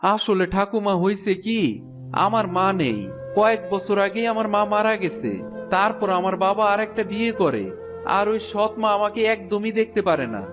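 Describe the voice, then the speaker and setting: Man voicing a high-pitched, plaintive poor village boy. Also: moderate pace, over music, lightly acted. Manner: pleading, plaintive. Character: poor orphaned village boy Sumon, meek and sorrowful. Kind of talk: cartoon fairy-tale voice acting.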